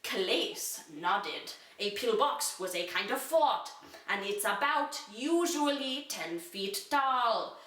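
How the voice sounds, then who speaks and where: Bright, high-voiced woman reading in echoey room. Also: expressive, slow, distant mic. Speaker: reader. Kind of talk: dramatic reading of an amateur children's fantasy story. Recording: echoey room, away from the mic.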